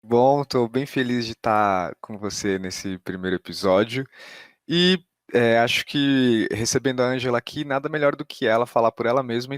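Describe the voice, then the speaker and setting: Man, Brazilian accent, podcast interviewer, medium pitch, casual delivery, dry room-free sound. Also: no echo.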